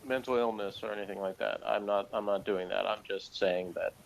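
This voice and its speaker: Low voiced guy rambling thru a casual review, blunt and opinionated. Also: fairly even intonation, a little fast, creaky, a little hiss or hum, poor audio. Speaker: co-host of an episode review show.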